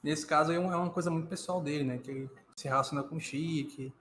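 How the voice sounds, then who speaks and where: A man's voice: a little high, fairly even intonation, fast talker, a bit bright. A Brazilian man chatting casually as a co-host reacting to a video. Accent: Brazilian Portuguese. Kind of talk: casual chat. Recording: echoey room, faint noise.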